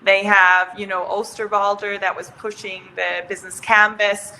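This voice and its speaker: A woman's voice: medium pitch, flat delivery, moderate pace, pushed and bright, a little hiss or hum, low-quality recording. A woman in her thirties who grew up in Switzerland, chatting on a video call as a guest, laughing often and restarting her sentences. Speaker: startup founder, guest. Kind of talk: casual interview on a video call. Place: home room, indoors. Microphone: video call webcam.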